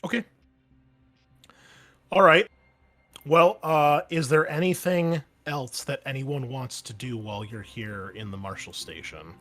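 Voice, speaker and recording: A man's voice: mid-range voice, unhurried, pauses often, fairly bright tone. A young man running a tabletop roleplaying game, recapping from his notes in an easygoing way with many fillers. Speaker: game master.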